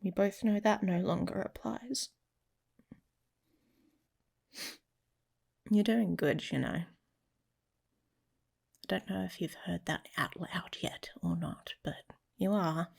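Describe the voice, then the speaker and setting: A woman voicing a protective, teasing werewolf mate in an intimate ASMR romance roleplay, speaking softly and casually, often slipping into a whisper. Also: lowish voice, steady tone, unhurried, gravelly, husky, heavily acted. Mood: affectionate, wry. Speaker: audio roleplay performer.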